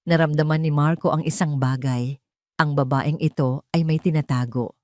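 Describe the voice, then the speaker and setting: A woman narrates a scripted drama in a fairly low voice. The recording is dry, with very little room around her. It is delivered as dramatic storytelling. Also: steady tone, normal speed, darkish tone, no echo.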